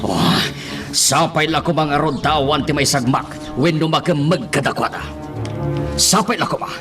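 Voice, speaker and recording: A man's voice: on the high side, moderate pace, music in the background, lightly acted. An actor with a Filipino accent playing a guarded, middle-aged father and underworld boss in a radio drama. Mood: worried.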